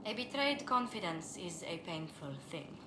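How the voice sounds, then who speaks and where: A woman's voice: on the low side, expressive, normal speed, lightly acted. A woman playing a refined, gracious and wistful lady ambassador in a video game. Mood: reflective, gracious. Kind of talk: video game dialogue acting. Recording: roomy, noisy ambience behind.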